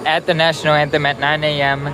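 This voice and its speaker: A man's voice: fairly high pitch, little pitch movement, fast, noisy background. A man giving casual running commentary into a phone camera while out at an amusement park. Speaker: vlogger at a theme park. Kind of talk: casual chat and walk-through commentary. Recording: outdoor phone recording with music behind.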